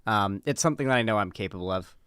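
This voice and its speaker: A man's voice: fairly low pitch, racing, fairly bright tone, clear, resonant tone, no echo, studio quality. A man hosting a livestream, talking in a casual, informal and chatty way. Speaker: livestream host. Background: background guitar music.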